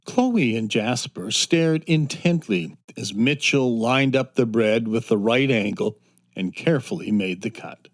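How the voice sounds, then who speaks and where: Slow-paced male children's story narrator. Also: medium pitch. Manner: measured, expressive storytelling. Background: soft music.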